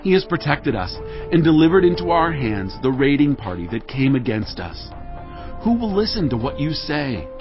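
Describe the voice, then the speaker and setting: A man's voice: fairly high pitch, very animated, normal speed. A man playing a devout, resolute warrior leader of ancient Israel in an audio Bible drama, earnest and commanding. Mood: firm. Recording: dull audio with music behind.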